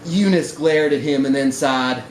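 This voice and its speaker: A man's voice: medium pitch, a little slow. A man reading a short story aloud expressively at a live event, indoors in a bar. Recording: roomy, noisy live bar recording.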